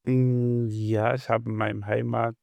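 Man, gravelly, halting, searching for words, dry close sound. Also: mid-range voice, flat delivery, a little mellow, no echo. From Syria. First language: Arabic. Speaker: interview guest, bank customer adviser. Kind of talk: casual interview answers.

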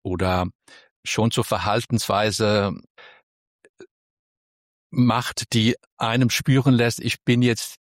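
A man's voice: on the low side, little pitch movement, slow, pauses often, dry recording, clean studio sound. A man of Spanish roots answering interview questions thoughtfully, choosing his words carefully with frequent restarts. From Spain. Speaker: interview guest.

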